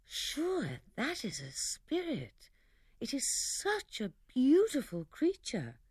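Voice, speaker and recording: A woman's voice: mid-range voice, big pitch swings, a little slow, mellow, no echo, clean studio sound. A woman reading the part of an innocent young girl in a storybook, in a raised, pleading voice. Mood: awed.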